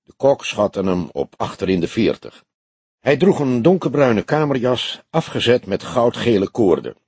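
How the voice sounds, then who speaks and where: A man's voice: medium pitch, very animated, normal speed, darkish tone, husky, no echo. A man reading a detective novel aloud as scripted audiobook narration.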